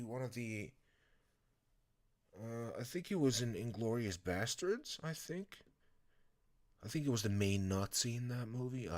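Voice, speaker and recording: A man with a deep, low-pitched voice talking to his live-stream viewers in a loose, meandering way. He stumbles, restarts and fills gaps with little fillers. He sounds worn out and unhurried. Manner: rambling, halting, many fillers and restarts. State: tired.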